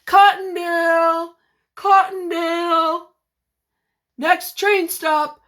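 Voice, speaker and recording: A woman voicing a jovial male train conductor in a storybook, calling out stops in loud, drawn-out words. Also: very high-pitched, little pitch movement, voice pushed up, heavily acted.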